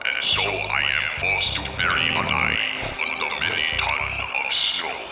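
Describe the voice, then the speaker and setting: An extremely low, gravelly villain boss addresses his workers in a cold, formal deadpan. The slow announcement booms from a distant, heavily echoing loudspeaker in a cartoon video game. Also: exaggerated voice. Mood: cold menace. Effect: booming loudspeaker echo. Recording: echoing distant loudspeaker under loud music.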